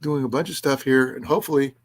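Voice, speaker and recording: The guy who runs a small outdoors livestream on a weeknight, a little raspy, wandering from point to point and stopping to answer whoever is in the chat. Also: mid-range voice, fairly even intonation, noisy background. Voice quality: slightly raspy voice. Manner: rambling, halting delivery with restarts and trailing asides. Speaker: livestream host of an outdoors and preparedness channel. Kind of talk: casual livestream chat, reading and answering viewers' comments.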